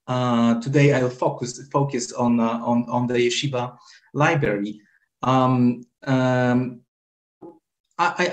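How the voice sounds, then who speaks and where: A man researcher on a discussion panel talks slowly, with a middle-pitched voice. He hesitates constantly, leaning on "um" and "like" and repeating words before he finds his point. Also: low-quality recording. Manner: hesitant, many fillers and "like". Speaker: researcher and librarian.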